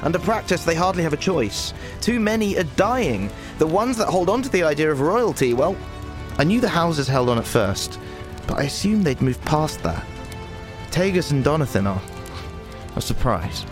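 An actor playing an irritable, protective advisor to a young sorceress, blunt and sarcastic, in a fantasy audio drama. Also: male voice, fairly high pitch, sing-song, moderate pace, over music. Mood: grave, frustrated.

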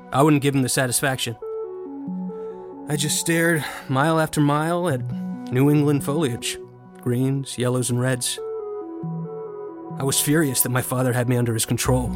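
Brash, entitled son, played by a male actor for scripted audio fiction; mid-pitched, wry, mouthy, music underneath. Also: fairly even intonation, moderate pace, pauses often, lightly acted. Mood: wry, reflective. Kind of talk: dramatic first-person audio fiction narration and dialogue.

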